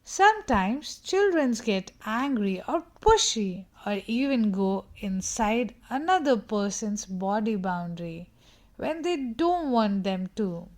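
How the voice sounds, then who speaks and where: A woman's voice: mid-range voice, very animated, slow. A woman reading a picture book aloud to young children in an instructive way, putting questions to her listeners.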